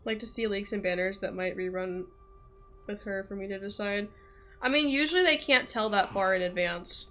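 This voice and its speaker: Bright-voiced woman streaming, chatting casually. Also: medium pitch, normal speed. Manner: rambling, thinking aloud. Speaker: game streamer. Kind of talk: casual chat with stream viewers.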